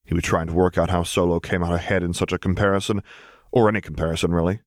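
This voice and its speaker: A man's voice: low voice, fairly even intonation, fast talker, no echo, clean studio sound. A man reading scripted fiction aloud as an audiobook narrator.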